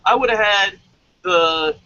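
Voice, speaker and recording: Excitable man with bright voice, lo-fi. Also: high-pitched, slow. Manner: excitable, jumps into loud animated quotes, stammers at times. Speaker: wrestling review host. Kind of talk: casual podcast chat. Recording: dull lo-fi audio.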